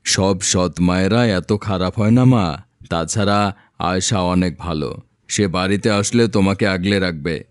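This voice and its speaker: A man playing a gentle, reassuring village father in an animated moral story, explaining in a soothing, measured way. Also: sits low, clear, resonant tone, no echo, studio quality, lightly acted.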